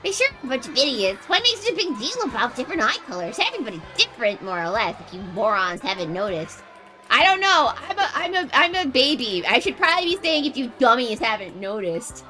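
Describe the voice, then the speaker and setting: A woman livestreamer reading game narration aloud with casual, animated, jokey commentary. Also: high-pitched, a little fast, music in the background. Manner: animated, reactive, jokey exclamations.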